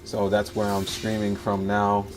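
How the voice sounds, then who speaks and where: A man's voice: lowish voice, monotone, a little slow, poor audio. An American man from Miami, chatting casually and easygoing as he gives live gaming commentary. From Miami, Florida, United States. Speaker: livestreamer. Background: music and game sounds.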